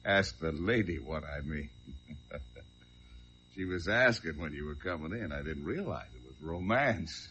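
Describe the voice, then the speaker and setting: An actor playing a gruff, grumbling highway cop in an old-time radio drama, brusque, slangy and sardonic. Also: male voice, animated, a little slow, bright, forceful tone, exaggerated voice. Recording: dull old radio recording.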